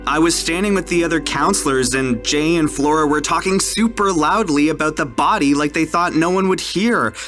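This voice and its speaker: A man plays an earnest young camp counselor with a fairly high, boyish voice. He is jumpy and casual as he tells his story. Music is clearly heard under this horror podcast monologue. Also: normal speed, hardly any pauses, a bit bright, lightly acted. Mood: uneasy, annoyed.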